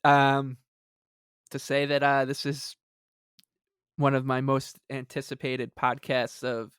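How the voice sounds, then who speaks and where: A man co-hosting a podcast in casual chat, halting and stammering, with a wry way of talking. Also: medium pitch, completely flat delivery, a bit bright, clean tone, no room sound, clean studio sound. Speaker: podcast co-host.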